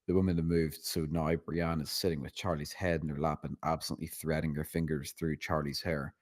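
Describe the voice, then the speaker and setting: A man's voice: rumbling low, little pitch movement, fairly quick, no room sound, studio quality. A man reading stage directions as scripted narration at a screenplay table read.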